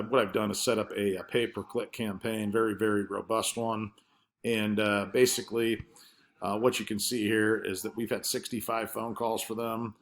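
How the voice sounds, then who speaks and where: A man with a somewhat low-pitched voice presents marketing work in an informal screen-share style. He explains things conversationally, feeling his way a little hesitantly from point to point.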